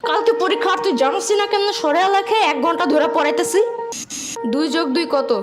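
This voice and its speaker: Cartoon big sister, acted by a woman: high, rapid, bossy, sarcastic and scolding, over music. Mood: exasperated. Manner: scolding, exasperated, colloquial. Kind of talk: comedic cartoon dialogue. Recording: music behind.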